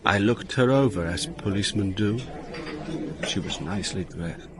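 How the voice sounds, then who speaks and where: An actor playing a blunt, worldly police inspector in a radio drama, brusque, commanding and wry. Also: male voice, fairly low pitch, normal speed, close to an everyday voice. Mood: dry, reflective. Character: blunt, worldly Dutch police inspector, narrating his case. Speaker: actor and narrator.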